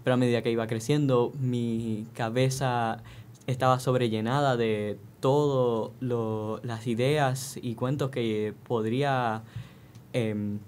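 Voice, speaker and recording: A young man, answering interview questions in a thoughtful, halting way. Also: mid-range voice, steady tone, darkish tone. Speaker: student actor, interview guest.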